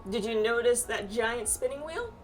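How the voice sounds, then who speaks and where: A woman plays a confident, teasing astrologer guide from a fantasy video game. The voice is fairly high and very animated, chatty and self-assured. Music plays clearly behind it. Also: normal speed, close to an everyday voice. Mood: playful, boastful. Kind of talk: reading video game quest dialogue aloud. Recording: distant mic, music behind.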